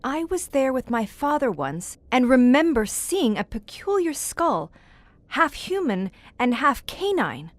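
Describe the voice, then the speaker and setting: A woman's voice: sits high, sing-song, a little slow, no room sound. An actress playing an inquisitive, educated woman in an audio horror drama, articulate and formal as she probes for answers. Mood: intrigued. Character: inquisitive, educated woman questioning a veteran monster hunter. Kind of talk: theatrical audio drama acting.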